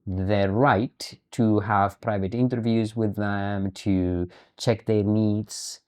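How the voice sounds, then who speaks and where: A middle-aged man speaking as a guest in an interview, measured and deliberate, often repeating words as he works through a thought. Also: lowish voice, steady tone, a little mellow, clear, resonant tone. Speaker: podcast guest, human rights activist. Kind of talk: interview conversation.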